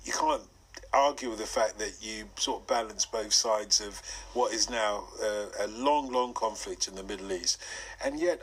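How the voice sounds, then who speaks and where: A man's voice: on the low side, a bit bright, husky, low-quality recording. A man in his fifties hosting a radio interview, talking in a musing, conversational way and asking leading questions. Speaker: radio host.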